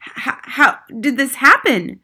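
Storybook role: pompous English dad, outraged and blustering, raised, forceful voice female reader. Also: high-pitched, very animated, measured pace, breathy edge, pitched above the performer's own voice, heavily acted. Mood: stammering, shocked. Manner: shouting, spluttering, indignant.

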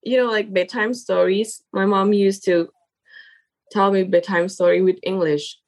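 A young woman whose first language is Indonesian, speaking English in a casual interview as the guest and often pausing to search for words. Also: medium pitch, fairly even intonation. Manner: halting, searching for words with many fillers.

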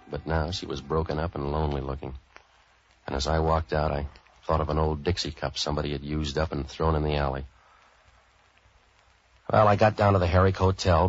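An actor playing a cynical, street-wise fixer with clipped, deadpan, wisecracking delivery in a 1940s radio noir style. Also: male voice, rumbling low. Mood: brooding, cynical. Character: street-wise cynical hardboiled male private fixer narrating his case. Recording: dull old-time radio recording.

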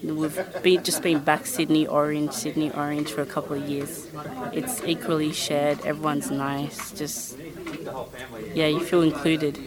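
A young woman from Sudan, a new citizen, answering in a quiet, halting way. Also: deep voice, level tone. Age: young adult. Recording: crowded room behind. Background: crowd chatter.

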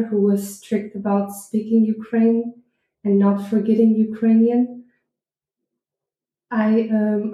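Soft-toned woman, roomy sound. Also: on the high side. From Germany. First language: German. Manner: earnest, with hesitant restarts. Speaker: interviewee. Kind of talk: personal history interview. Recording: roomy echo.

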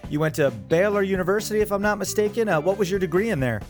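A man's voice: high-pitched, expressive, fast, soft background music. A man hosting a podcast in a casual, upbeat, chatty interview style. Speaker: podcast host.

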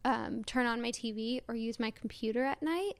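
A woman's voice: on the high side, normal speed, dry recording. A woman co-hosting a podcast, a youthful-sounding voice, chatting in a rambling way full of likes and restarts. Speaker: podcast co-host. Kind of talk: casual chat.

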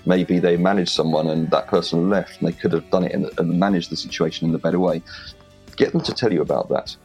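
A man's voice: deep voice, fairly even intonation, fast, darkish tone, faint music behind. A man with a Welsh accent, a podcast guest giving direct, confident advice on interviewing. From Wales. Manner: direct, confident, plain-spoken. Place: home in rural Wales.

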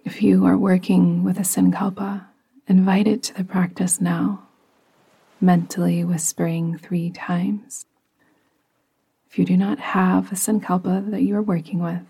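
A woman guiding a relaxation meditation in a soft, breathy, partly whispery voice with a soothing, gentle, unhurried manner. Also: lowish voice, little pitch movement, poor audio. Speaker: yoga nidra meditation guide.

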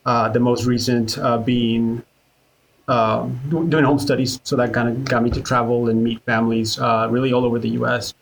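A man talks in an easygoing, plain voice at middle pitch, filling his pauses with small hesitations as he answers questions. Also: creaky. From Ecuador. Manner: relaxed, many "uh" fillers. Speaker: childcare worker guest. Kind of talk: podcast interview.

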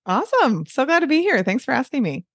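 A woman's voice: high-pitched, sing-song, quick pace, dry recording, studio quality. A woman in her forties with a Midwestern American accent, speaking as a guest in a casual podcast conversation. She talks in a chatty way, with many fillers and light laughs. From United States. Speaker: guest, weight loss coach.

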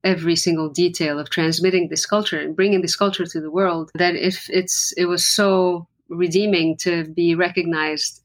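A woman's voice: lowish voice, steady tone, normal speed, hardly any pauses. A woman from Lebanon, a musician guest in an interview, talking in an earnest, reflective way with frequent restarts. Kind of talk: conversational interview.

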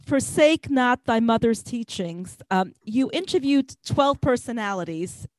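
Woman interviewer, kinda high voice, lots of wow-type reactions, dry sound. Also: expressive, measured pace, no echo. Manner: exclamatory, reactive.

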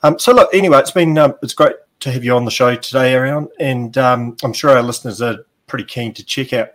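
A man's voice: medium pitch, fairly quick. A man with a New Zealand accent hosting a business podcast, talking casually with frequent ums and restarts. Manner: relaxed, many ums and restarts. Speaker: podcast host. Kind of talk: casual interview.

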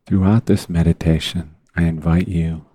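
A man leading a guided meditation in a measured, deliberate way, leaving long pauses between phrases. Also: low voice, steady tone, dark tone, slightly rough, no room sound. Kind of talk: unscripted guided meditation talk.

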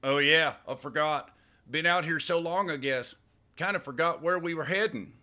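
A laid-back young Texan spaceman as a male narrator plays him, high-pitched with a very bright, sharp edge. His drawl is faded, his manner folksy and joking, made for a sci-fi audiobook. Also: moderate pace. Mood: joking, offhand. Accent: faded Texas. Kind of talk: audiobook character dialogue.

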